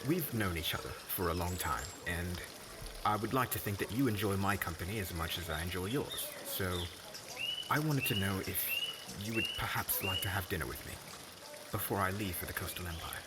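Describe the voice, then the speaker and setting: An earnest, courteous fire mage played by a man talks in a fairly low voice with expressive rises and falls. He is a little hesitant, like a shy suitor in a fantasy roleplay. Strong ambient noise sits behind him. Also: normal speed. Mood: earnest, nervous. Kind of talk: ASMR roleplay acting.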